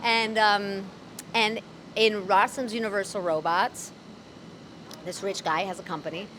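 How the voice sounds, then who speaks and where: A woman voice actor and comedian, guest in a casual street interview, talking candidly with dark humor and frequent laughter. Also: medium pitch, fairly even intonation, unhurried, a little hiss or hum, poor audio. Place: city street outdoors.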